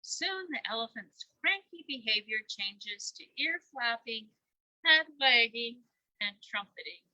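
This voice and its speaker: A woman's voice: fairly high pitch, unhurried, dry recording, highs cut off. An older woman reading a children's story aloud as a grandparent storyteller, expressively and with playful asides to her young listeners. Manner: expressive, asks playful questions.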